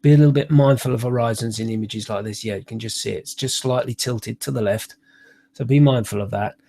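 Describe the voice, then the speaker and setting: A man's voice: medium pitch, a little fast, gravelly, warm tone. Snuffly middle-aged man with a cold. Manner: chatty, hesitant, many uhs and restarts. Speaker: photography tutor. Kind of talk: live online workshop critique. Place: small room at home. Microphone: webcam on a computer.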